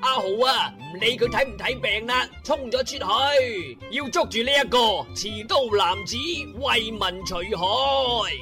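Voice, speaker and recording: A man with a Cantonese accent narrating a comic internet radio drama in a theatrical, colloquial storytelling style with drawn-out words. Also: very high voice, animated, music in the background.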